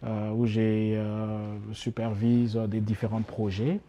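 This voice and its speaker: A man's voice: lowish voice, monotone, takes it slowly, warm tone. A middle-aged man from Congo, a guest in a casual interview, speaking with many fillers and restarts. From Democratic Republic of Congo.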